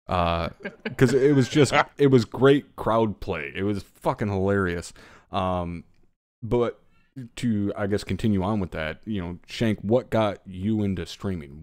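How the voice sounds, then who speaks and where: Man, very deep voice, dry close mic, profane casual podcast chat, middle-aged. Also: a little mellow, clear, resonant tone, dry recording. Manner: profane, joking banter. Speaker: podcast host.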